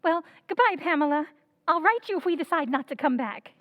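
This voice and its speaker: A woman's voice: high voice, animated, a little fast, no room sound, highs cut off. A woman playing Sally, a stunned young wife, speaking hesitantly in a 1940s radio drama recreation. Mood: brisk. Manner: hesitant, unbelieving.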